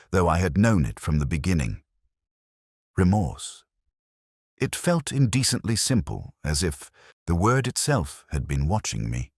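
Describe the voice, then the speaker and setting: A man narrating a scripted first-person detective story in a measured, brooding, literary manner. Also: a bass voice, no echo, clean studio sound.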